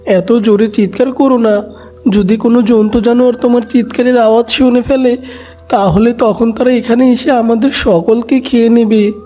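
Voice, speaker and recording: A cartoon father duck acted by a man, pitched up well above normal talk. He is careful and protective, a worried husband guarding his family, with light music underneath. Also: fairly even intonation, moderate pace, warm tone. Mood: alarmed.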